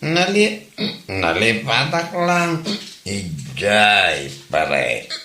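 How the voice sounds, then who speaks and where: A man acting a defiant, belligerent drunkard in a comedy drama, with a slurred drawl that can burst into shouting. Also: on the low side, very animated, extremely slow, full character voice. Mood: sullen, drunken. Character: belligerent drunkard husband and grown son, defiant. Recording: echoey room.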